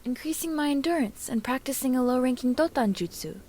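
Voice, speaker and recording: Storybook role: young kunoichi, read by a woman, dry, teasing, quick to retort. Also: high voice, lively intonation, a little mellow, pitched above the performer's own voice. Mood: assuring.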